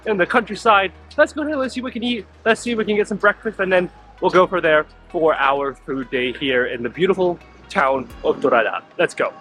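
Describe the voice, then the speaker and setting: A man hosting a travel food vlog, a little hoarse and raspy from feeling unwell, talking enthusiastically and repeating words for emphasis. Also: high voice, a bit bright, rough recording. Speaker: food vlogger host. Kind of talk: casual travel vlog narration. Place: outdoors in a small-town plaza, then a tiny eatery.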